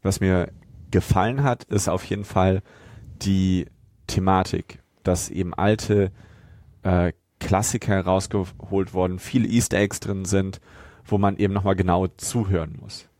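A man with a deep, low voice talks as a podcast co-host. He gives a casual review in a very dry, close-sounding recording. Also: fairly even intonation, a little slow, darkish tone, no room sound.

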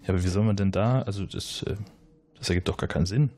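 A man playing an evasive, defensive suspect under questioning in an improvised role-play, answering haltingly. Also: sits low, mellow. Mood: cornered, halting. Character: cornered, evasive male suspect, insolent when provoked.

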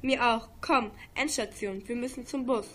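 A woman's voice: a little high, normal speed, noisy background, lightly acted. A teenage girl acting a curious, matter-of-fact schoolgirl in an amateur radio play. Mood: matter-of-fact, puzzled.

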